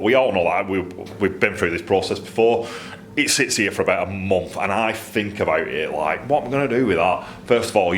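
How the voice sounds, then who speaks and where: Northern English man, deep-pitched, casual tradesman vlogger thinking aloud, with some noise behind him. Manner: rambling, thinking aloud, colloquial. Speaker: custom exhaust fabricator presenting his own workshop video. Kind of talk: casual, unscripted workshop vlog.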